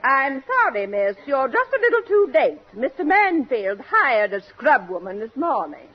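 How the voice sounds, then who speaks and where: An actress playing a busy, polite but dismissive secretary in a 1940s radio comedy, with clipped formal phrasing. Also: female voice, sits high, sing-song, measured pace, a crowd in the background. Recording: dull, slightly noisy old radio recording.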